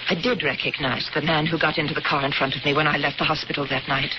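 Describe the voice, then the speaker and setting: An actress playing a firm, grave woman who makes deliberate, insistent accusations in a radio drama. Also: deep voice, flat delivery, natural voice. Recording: muffled, hissy old radio broadcast.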